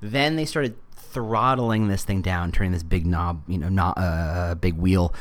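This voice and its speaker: A middle-aged American man giving a casual explanatory monologue in an animated, rambling way, full of wry asides. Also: deep voice, unhurried.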